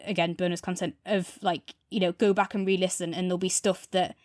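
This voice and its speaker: A woman's voice: on the low side, level tone, a little fast, a little mellow, dry recording, clean studio sound. A woman co-hosting a podcast in a casual, informal chat, explaining at length with plenty of asides. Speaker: podcast co-host. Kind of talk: casual chat, audio drama review.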